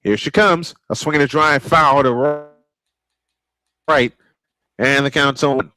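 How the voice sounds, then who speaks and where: A man calling live baseball play-by-play, excitable and prone to repeating his points. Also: fairly high pitch. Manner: excitable, repeats points, quick calls of action. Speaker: play-by-play announcer. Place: home sports studio.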